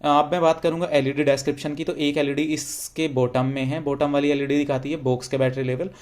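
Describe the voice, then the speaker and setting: Higher-pitched Indian man reviewing gadgets. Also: flat delivery, brisk, darkish tone. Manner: explanatory, mixes English phrases into speech. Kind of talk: casual product review to camera.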